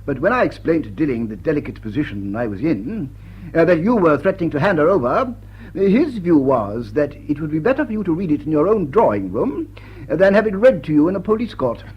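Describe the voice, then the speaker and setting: An actor playing a pompous, self-righteous upper-class English gentleman in a period comedy, speaking formally and hesitantly. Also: fairly high pitch, very animated, a little fast, slightly rough, highs cut off. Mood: pompous, wounded. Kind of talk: period stage comedy radio acting.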